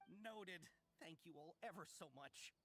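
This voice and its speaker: A woman's voice: lowish voice, unhurried, a bit bright, slight background noise. A woman voicing a friendly, eager and generous merchant in a video game, speaking effusively. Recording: game audio with music underneath.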